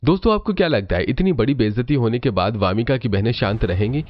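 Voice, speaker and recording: A man's voice: medium pitch, lively intonation, fast talker, no echo. A man narrating a scripted cartoon family story as the storyteller, on a slightly dull recording.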